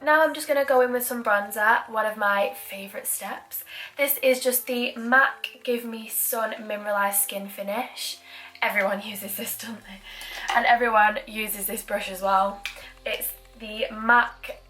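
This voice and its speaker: A woman's voice: on the high side, steady tone, measured pace, pushed and bright, poor audio. Chatty young Mancunian woman. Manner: chatty, self-deprecating asides while working. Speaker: beauty vlogger. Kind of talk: chatty makeup tutorial.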